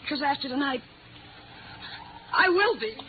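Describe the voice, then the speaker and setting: A woman's voice: high voice, animated, unhurried. Desperate young woman, tinny old radio. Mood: fearful, resigned. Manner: curt, guarded. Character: desperate, guarded young woman resigned to death. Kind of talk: 1950s radio drama acting. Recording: thin noisy old radio recording.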